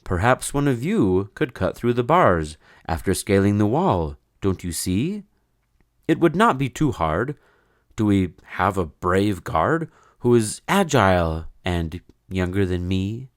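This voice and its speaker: A man's voice: on the low side, sing-song, a little slow, no room sound, studio quality. A man voicing an older, resourceful carpenter in a children's story, earnest and hopeful. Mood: hopeful, persuasive.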